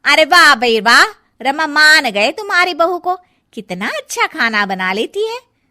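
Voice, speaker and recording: A woman doing loud, gossipy auntie friends. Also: sits high, sing-song, measured pace, voice pushed up, heavily acted. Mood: chatty. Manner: loud, chatty, praising. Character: gossipy middle-aged female friends.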